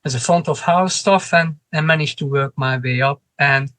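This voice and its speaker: A man from Hungary with a Hungarian accent, answering interview questions in English as a guest, often pausing and restarting his phrases. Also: a little high, a bit bright, dry recording. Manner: halting, restarts phrases, non-native grammar. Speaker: cinema manager, guest.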